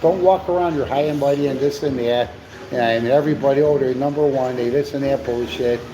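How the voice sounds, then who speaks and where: A man's voice: mid-range voice, brisk. A man co-hosting a live stream, chatting in a rambling, preachy way with lots of stammered restarts. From USA. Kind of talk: rambling casual chat. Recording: noisy live stream with background hum.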